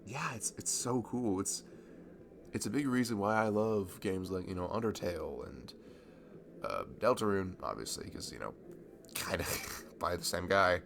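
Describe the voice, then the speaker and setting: A man playing a friendly, nerdy young guy in a casual ASMR roleplay, chatting to a childhood best friend in a halting, self-deprecating ramble. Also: deep voice, lively intonation, creaky, fairly bright tone, slight background noise, full character voice. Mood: enthusiastic, geeky. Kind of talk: improvised-sounding ASMR roleplay, casual one-sided conversation.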